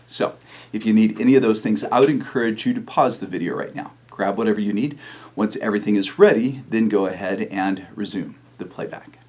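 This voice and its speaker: Middle-aged male pastor with a low voice, relaxed storytelling with fillers, for a video sermon. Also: fairly quick, breathy edge. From New Mexico, USA.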